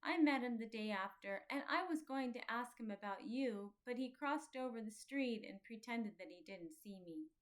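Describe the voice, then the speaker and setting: A woman voicing a quiet, thoughtful young pilgrim boy in a children's storybook, speaking earnestly and plainly. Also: on the high side, lively intonation, moderate pace, few pauses, close to an everyday voice. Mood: earnest, chatty.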